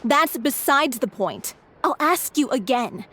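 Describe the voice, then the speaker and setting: An actress playing a firm, determined adult stage actress. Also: female voice, high voice, animated, measured pace, a bit bright, a little hiss or hum. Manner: firm, insistent.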